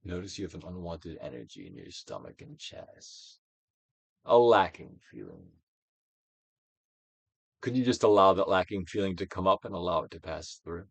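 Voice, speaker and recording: A man reading a self-help book aloud as a dramatic, guided-meditation narration, with deliberate actorly emphasis, swelling and dropping to a hush. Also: low-pitched, expressive, gravelly, husky, dry recording. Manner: theatrical, deliberate actorly delivery with emphatic swells and hushed drops.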